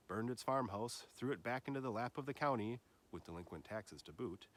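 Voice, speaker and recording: A man reading a literary nature essay aloud like an audiobook, with measured, deliberate phrasing, as a teacher would for a class. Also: mid-range voice.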